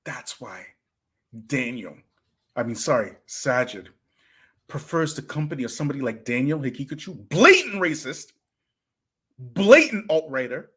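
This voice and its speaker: A man hosting a reaction livestream, preachy and animated, swinging between loud and hushed delivery. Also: medium pitch, a little slow, pauses often, breathy edge. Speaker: livestream host.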